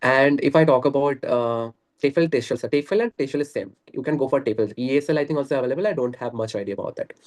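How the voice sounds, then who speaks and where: A man's voice: medium pitch, fast talker, few pauses, darkish tone. Earnest man, rambling on a call. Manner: earnest, rambling, laughs often. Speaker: guest. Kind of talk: casual conversation practice.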